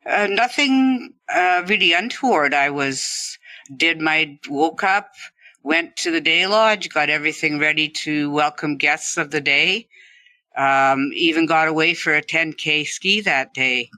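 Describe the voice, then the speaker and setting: A woman's voice: low voice, a little slow, sharp tone, no echo. A middle-aged woman, telling her own story conversationally as an interview guest. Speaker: podcast guest, former ski instructor.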